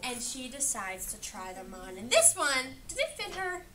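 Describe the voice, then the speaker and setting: A woman's voice: high voice, sing-song, normal speed. An American woman reading a picture book aloud interactively, chatting, asking questions and encouragingly echoing the answers. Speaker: picture-book reader with a child. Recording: noisy room, distant microphone.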